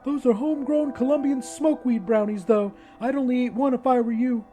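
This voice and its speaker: Man putting on a high, coy young hostess. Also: fairly quick, warm tone, faint music behind. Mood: teasing.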